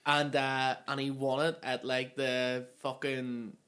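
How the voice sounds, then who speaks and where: A man rambles through a school story in casual chat. His voice has a bright edge, and he fills his speech with "like" and the odd swear. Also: mid-range voice, little pitch movement, takes it slowly. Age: 20s.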